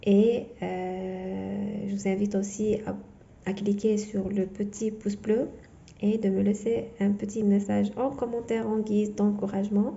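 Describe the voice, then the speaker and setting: A woman whose first language is not French, giving a tarot reading in a halting way full of fillers and restarts. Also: medium pitch, flat delivery, gravelly, dark tone, dull audio. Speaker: tarot reader.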